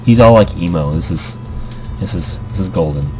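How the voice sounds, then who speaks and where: Low-voiced man, casual let's-play narrator. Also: lively intonation, normal speed, background noise. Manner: informal, self-deprecating, stumbles over reading. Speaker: let's play host reading a visual novel aloud. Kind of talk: story narration with casual commentary. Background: music.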